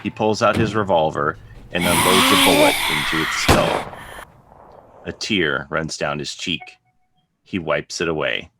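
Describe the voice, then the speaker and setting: A man reading scripted stage directions as narrator, in measured, declarative sentences. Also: very deep voice, very noisy.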